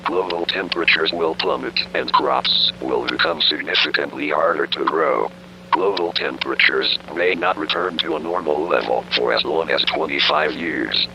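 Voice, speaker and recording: A man playing a grave emergency broadcaster, reading a scripted announcement in a solemn, formal, measured way. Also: a bass voice, creaky, pushed and bright, heavily acted. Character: grave emergency broadcaster reporting national collapse. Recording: faintly noisy broadcast with hum.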